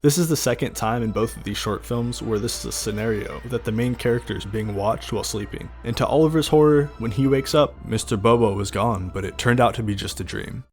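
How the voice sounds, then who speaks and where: A man's voice: medium pitch, a little fast, hardly any pauses. A man giving casual, easygoing commentary over horror video clips, plainly appreciative.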